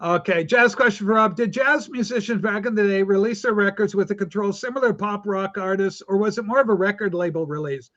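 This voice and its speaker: A man's voice: sits high, fairly quick, few pauses, a bit bright, dull audio. A man hosting a casual comedic livestream chat, exclaiming often and steering the talk to his guests. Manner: exclamatory, says "holy smoke" a lot. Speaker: livestream host.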